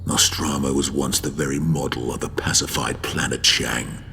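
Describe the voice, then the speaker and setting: A menacing bitter warlord for an audiobook, really low voice, grim and deliberate, big hall reverb. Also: male voice, fairly even intonation, gravelly, bright, forceful tone, slight background noise, full character voice. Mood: cold menace. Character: brooding, embittered, ruthless male warlord, coldly menacing. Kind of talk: dramatic audiobook acting. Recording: long hall reverb, music behind.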